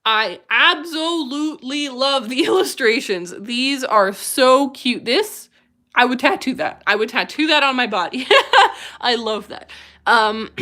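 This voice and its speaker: Young woman streamer, gushy and enthusiastic, bright edge, a little high. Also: expressive, takes it slowly. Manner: effusive and enthusiastic, gushing over what she reads. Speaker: livestream host. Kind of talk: live-streamed chat reading viewer submissions.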